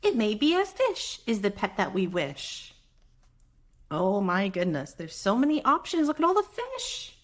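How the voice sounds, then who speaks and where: A soft-spoken woman reads a storybook to preschoolers at an unhurried pace. Her pitch rises and dips in a lively way as she reads. She is gentle and chatty, often slipping in little asides to the children. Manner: gentle, expressive, chatty with young listeners. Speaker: children's read-aloud host; mother. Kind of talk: picture-book read-aloud for preschoolers with conversational asides.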